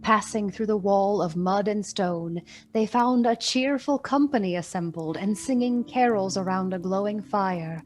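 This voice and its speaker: A woman narrating a Victorian Christmas tale for a radio play, measured, solemn and expressive. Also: medium pitch, soft background music, close to an everyday voice. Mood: dramatic storytelling.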